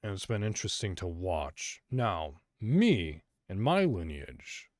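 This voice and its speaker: A man from Illinois hosts his own podcast alone, thinking aloud as he goes. His voice sits very low, and he drifts between thoughts with plenty of fillers and false starts. The sound is dry and close, with no room around it. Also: huge pitch swings, slow, dry recording. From Illinois, USA. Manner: rambling, hesitant, many fillers and restarts. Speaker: podcast host. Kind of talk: solo casual podcast monologue.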